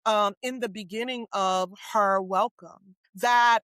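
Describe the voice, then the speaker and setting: Woman host, bright forceful voice, emphatic, dry close sound. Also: medium pitch, fairly even intonation, slow pace, clean tone, no room sound. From United States. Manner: emphatic, keeps asking "right?". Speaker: podcast host. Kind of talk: casual faith-based discussion.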